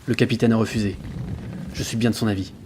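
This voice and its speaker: An actor playing an earnest sailor who narrates his ship's log in a measured, reflective way for an audio drama. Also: fairly low pitch, steady tone, darkish tone, slight background noise, lightly acted. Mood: grave, reflective. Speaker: narrator and lead character. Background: background music.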